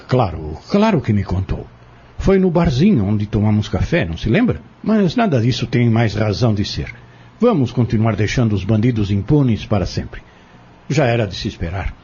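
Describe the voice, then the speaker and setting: A man's voice: lowish voice, sing-song, moderate pace, mellow, lo-fi sound, a little hiss or hum. An actor in an audio drama playing an insistent, scheming man who goads and persuades in formal wording, bent on exposing a corrupt family. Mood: indignant.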